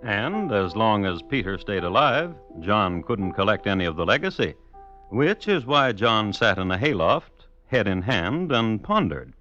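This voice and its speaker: A man's voice: lowish voice, very animated, measured pace, bright, forceful tone, faint music behind. An American man narrating as host in a dry, wry, folksy 1950s radio storytelling style. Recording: muffled old radio recording.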